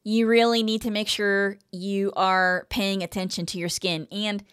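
A woman's voice: medium pitch, level tone, a little slow, fairly bright tone, clear, resonant tone, dry recording, studio quality. A woman in her fifties co-hosting a podcast, chatting casually and reading from articles. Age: 50s. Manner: chatty, reads quotes aloud then comments. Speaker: podcast co-host.

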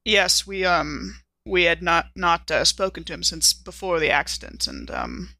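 A woman's voice: fairly low pitch, very animated, normal speed, vocal fry, sharp tone, no echo. A woman playing a tabletop game, improvising conversation in character.

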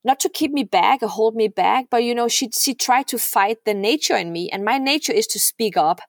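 A woman's voice: on the high side, fairly quick, creaky, no echo, studio quality. A middle-aged woman from Denmark, a podcast guest talking earnestly, often repeating words and checking in with "right?". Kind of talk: heartfelt podcast conversation.

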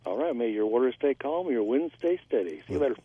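A man calling in to a radio talk show, a voice actor chatting with many hesitant pauses. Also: mid-range voice, expressive, slightly rough. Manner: halting, many filler pauses. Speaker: caller, voice actor. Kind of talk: call-in chat. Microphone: phone. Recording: tinny phone line.

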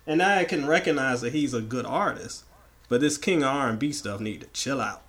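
A man from the American South co-hosting a podcast, hoarse and raspy from the flu, joking and opinionated in casual chat. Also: medium pitch, animated, normal speed, a bit bright. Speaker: podcast co-host.

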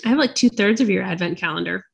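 A woman's voice: medium pitch, fast talker, gravelly, husky. A woman co-hosting a podcast, chatting casually and answering in short, agreeable remarks. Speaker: podcast co-host. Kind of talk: casual chat about books.

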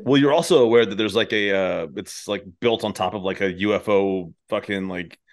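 A man's voice: lowish voice, normal speed. A man hosting a podcast in casual chat, with an irreverent, sweary way of talking. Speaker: podcast host.

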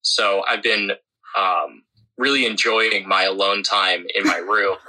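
A man's voice: fairly low pitch, fairly even intonation, a little slow, bright, forceful tone, low-quality recording. A man in his twenties on a video call, chatting on a podcast in a wry, self-mocking way with many ums. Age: 20s. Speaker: podcast co-host. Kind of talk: casual podcast chat.

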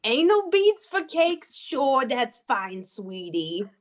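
A woman's voice: high-pitched, big pitch swings, slow pace, pitched below the performer's own voice, full character voice. A woman improvising a comedy sketch as a sweet, forgetful cupcake shop owner, full of sugary endearments.

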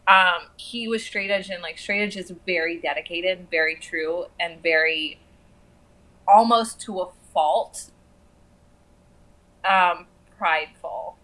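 Bright-voiced American woman chatting casually. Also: lowish voice, slow, rough recording. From southwestern United States. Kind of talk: casual conversation.

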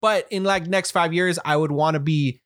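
A man aged 29 chatting casually as a podcast co-host, relaxed and laughing often, trailing off mid-thought. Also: a little high, moderate pace, no room sound, studio quality. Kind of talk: casual chat.

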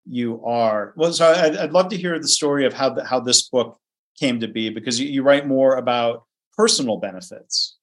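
Male interviewer, friendly and thoughtful, middle pitch, plain delivery. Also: moderate pace, rough recording. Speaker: podcast host. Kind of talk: interview questions.

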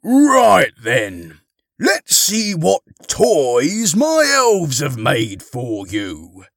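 A man's voice: on the high side, huge pitch swings, extremely slow, vocal fry, dry recording, clean studio sound, pitched above the performer's own voice, heavily acted. A man playing a kindly older grandfather as a jolly Father Christmas, with hearty, projected delivery in a story. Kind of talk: audiobook character dialogue.

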